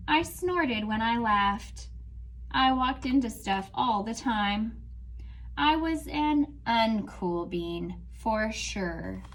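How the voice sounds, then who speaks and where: A woman teacher reading a picture book aloud to children, pausing to talk about the story. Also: on the high side. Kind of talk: read-aloud storytime with commentary.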